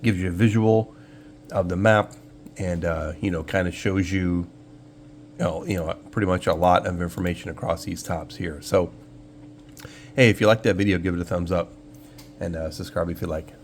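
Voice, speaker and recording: Deep-voiced man over a noisy hum. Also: normal speed, long pauses. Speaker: host of a shortwave radio channel. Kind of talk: casual screen walkthrough tutorial. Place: indoor room, filming a computer screen. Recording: clear steady hum and background noise.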